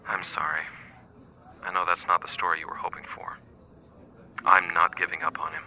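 A loyal, troubled friend in a radio drama. His voice is deep, with a very bright, tense edge. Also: level tone, normal speed, creaky, exaggerated voice. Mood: concerned, resolute. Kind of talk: scripted radio drama acting.

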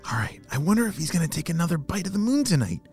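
A woman's voice: fairly low pitch, big pitch swings, very fast, a little mellow, faint music behind, pitched above the performer's own voice. A woman voicing an eager, curious young boy in a children's story, with exclamations and hesitant stammers. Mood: determined.

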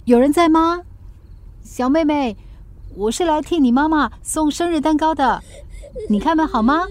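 A woman's voice: high-pitched, noisy background. A woman playing a kind but stubborn young delivery worker in a radio drama. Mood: gentle, coaxing. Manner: coaxing and earnest, quick to snap back.

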